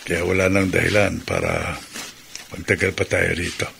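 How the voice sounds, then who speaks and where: An actor playing a grave old village grandfather in a radio drama, with a gravelly voice. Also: low-pitched, flat delivery, moderate pace, fairly bright tone, slight background noise, exaggerated voice. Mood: solemn. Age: older.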